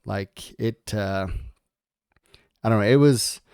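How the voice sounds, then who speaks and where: Smooth-voiced laid-back man, swearing casually. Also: on the low side, slow pace, a little mellow, dry recording, studio quality. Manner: laid-back, swears freely, says bro. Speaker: podcast co-host. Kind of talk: casual podcast chat.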